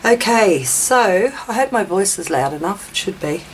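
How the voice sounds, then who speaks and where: An Australian woman with a slightly raspy voice from a chest infection, hosting a casual craft video in a rambling, self-deprecating way as she talks to herself. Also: medium pitch, expressive, normal speed, a little hiss or hum, poor audio. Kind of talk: casual chatty craft demonstration.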